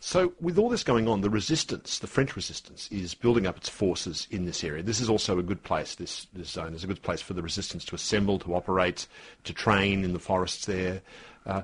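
A man's voice: sits low, husky. A man interviewing in a conversational style, building his questions step by step and rephrasing as he goes.

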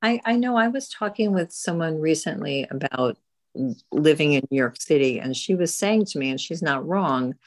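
A woman's voice: low voice, lively intonation, moderate pace, no room sound. A woman hosting a book group in a casual, conversational way.

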